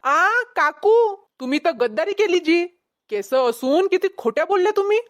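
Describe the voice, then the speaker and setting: A woman's voice: very high-pitched, expressive, moderate pace, faint music behind. A woman voicing a put-upon village pot peddler in a cartoon comedy, nervous and complaining, with a Vidarbha Marathi accent. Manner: whiny, protesting, meekly deferential. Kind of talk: exaggerated cartoon comedy acting.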